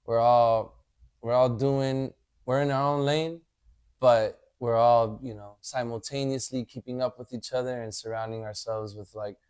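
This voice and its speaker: A man in his thirties, a podcast guest, talking in a relaxed way and often adding "you know". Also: mid-range voice, moderate pace, clean tone, dry recording. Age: 30s. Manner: laid-back, leans on "you know" a lot. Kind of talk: casual chat.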